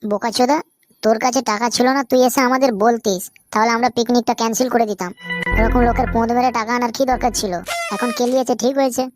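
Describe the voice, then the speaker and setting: A hot-tempered young village lad swears and quarrels at a rapid clip, as a woman acts him out. His voice sits fairly high, and he speaks in a comic cartoon. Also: steady tone, music in the background, lightly acted. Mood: angry.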